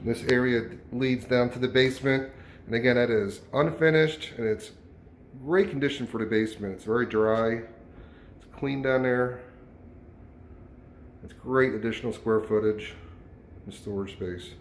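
A man, a real estate agent, walking through and narrating a house tour in a relaxed, informal way. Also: medium pitch, moderate pace, long pauses. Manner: relaxed, informal, with small self-corrections. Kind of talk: casual walkthrough narration. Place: empty house, bare rooms. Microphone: handheld phone camera. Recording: echoey empty rooms, faint background noise.

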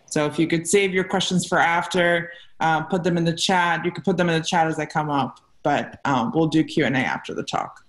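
A woman's voice: low voice, steady tone, a little fast, few pauses. A young adult woman hosting, introducing a guest speaker over a video call with many fillers. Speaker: lecture series host. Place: room at home, indoors. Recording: echoey room on a video call.

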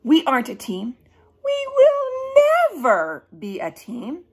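A woman's voice: high-pitched, wildly swooping pitch, pitched above the performer's own voice, exaggerated voice. A woman voicing a bossy, hot-tempered young girl in a children's storybook, with emphatic, commanding delivery. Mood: stern, bossy. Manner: emphatic, commanding, drawn-out words. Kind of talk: children's storybook read-aloud.

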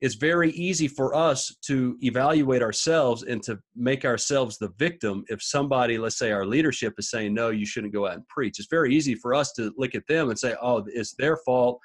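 A man's voice: mid-range voice, moderate pace, hardly any pauses. A man in his thirties talking from a home study over a video call, thinking aloud and often repeating words as he reasons. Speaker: podcast co-host. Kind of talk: casual discussion on a video call. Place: small home study with bookshelves.

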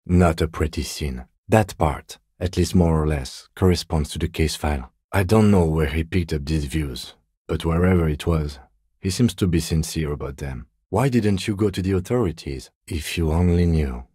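An actor playing a composed middle-aged police detective in a video game, speaking in a measured, formal, dryly polite way. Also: male voice, rumbling low, warm tone, breathy edge, dry recording, studio quality. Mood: measured, serious. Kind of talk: dramatic game dialogue, separate voice lines.

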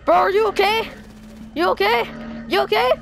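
An excitable, melodramatic young-sounding gamer improvising a zombie apocalypse roleplay over game sounds. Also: very high-pitched, normal speed, vocal fry, slight background noise. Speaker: gaming video creator playing a roleplay character.

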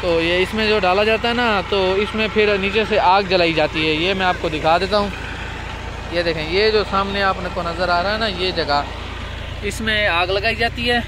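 A young man with a Pakistani Punjabi accent talks in a high, chatty voice, calling his viewers "guys" as he walks them around. He records on a phone held at arm's length outdoors on a farm. A tractor engine drones clearly in the background. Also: fairly even intonation, normal speed, background noise. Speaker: vlogger. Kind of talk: casual walkthrough vlog.

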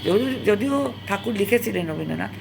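A woman tells a personal story in an emotional, dramatic monologue, halting and repeating phrases for emphasis and dropping in English words. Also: mid-range voice, lively intonation, vocal fry, over music. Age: 70s. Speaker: storyteller recalling own past.

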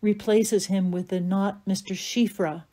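A woman narrating stage directions from a script in a measured, matter-of-fact way. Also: mid-range voice, steady tone, mellow.

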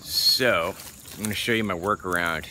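A man's voice: sits low, normal speed, pushed and bright, slight background noise. A man in his thirties casually showing a camp trick outdoors in a forest, talking easily with some restarts to a camera held close. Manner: easygoing, rambling with restarts. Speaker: bushcraft vlogger. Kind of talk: casual outdoor how-to vlog. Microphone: camera held close at arm's length.

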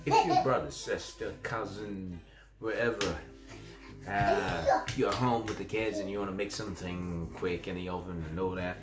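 A man's voice: low-pitched, fairly even intonation, normal speed, music in the background. A man in his thirties from Zambia, with a Zambian accent, hosting a home cooking demonstration in a small kitchen. He talks in a chatty, encouraging way, with plenty of fillers and questioning "mm?" tags. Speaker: cooking channel host.

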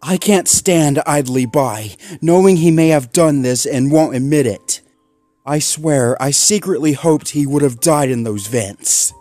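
A man's voice: on the high side, unhurried, mellow, no room sound, soft background music, pitched above the performer's own voice, close to an everyday voice. A man voicing a determined young male Labrador dog who talks in an intense, resolute way. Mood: bitter.

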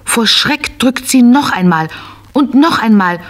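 A woman's voice: a little high, expressive, measured pace. A woman narrating a scripted children's story, telling it as if to small children.

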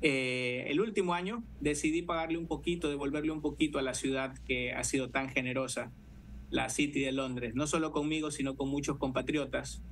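A man's voice: mid-range voice, steady tone, fairly quick, a bit bright. A man in his thirties with a Guayaquil Ecuadorian accent, answering interview questions over a video call. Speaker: interview guest, city councillor. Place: living room, indoors. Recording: noisy video call audio.

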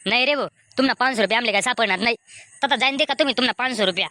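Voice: mid-range voice, racing, pushed and bright, no room sound, studio quality, natural voice. A performer voicing a blunt, irritable village man in a comedy cartoon, with a Khandeshi Ahirani accent. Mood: irritated denial.

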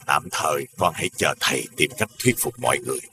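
A man voicing a kind, principled village scholar in an animated folktale, earnest and instructive in manner. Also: extremely low pitch, fast talker. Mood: gentle.

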